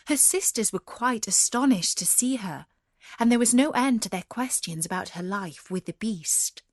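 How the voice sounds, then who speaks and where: A woman narrating a scripted fairy tale as a storyteller, measured and expressive. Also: on the high side, dry recording, clean studio sound.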